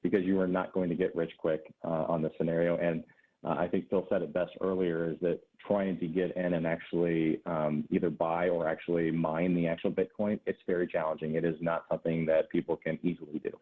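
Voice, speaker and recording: A man's voice: low voice, flat delivery, fairly quick. A man calling in to a talk show, speaking easygoing with some hesitation. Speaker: caller. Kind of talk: casual call-in chat. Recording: tinny phone line.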